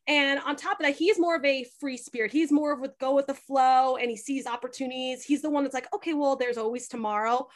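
A woman's voice: high-pitched, a little fast, few pauses. A young woman chatting casually in a podcast interview, telling things in a talkative way with lots of "like" and acted-out quotes. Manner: chatty, animated storytelling with frequent "like" and quoted dialogue. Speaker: podcast host being interviewed.

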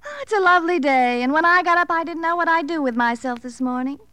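An actress playing a flippant, sardonic nightclub dancer in an old radio crime drama, theatrical and arch. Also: female voice, extremely high pitch, quick pace, bright, forceful tone, no echo. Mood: mock-breezy. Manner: theatrical, mock-breezy, arch.

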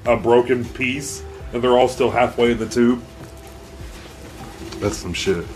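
A man's voice: medium pitch, fairly even intonation, measured pace, darkish tone, soft background music. A man hosting a podcast in laid-back, playful casual chat. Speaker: podcast host.

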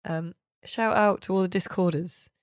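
A woman's voice: on the low side, normal speed, no echo, studio quality. A woman hosting a podcast in a casual, chatty style. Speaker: podcast host.

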